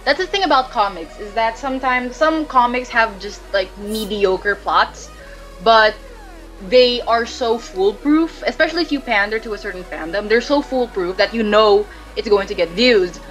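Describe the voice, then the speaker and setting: A woman's voice: on the high side, animated, moderate pace, fairly bright tone, faint music behind, poor audio. A woman talking casually as a podcast guest, rambling with lots of fillers and restarts. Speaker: podcast guest, webcomic creator.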